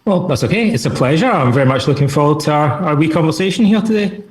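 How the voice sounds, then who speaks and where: A man's voice: a little high, animated, fast talker. Easygoing Scottish man on a video call. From Scotland. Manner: easygoing, rambling with many fillers. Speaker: guest, author and psychotherapist. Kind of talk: relaxed conversational interview answers.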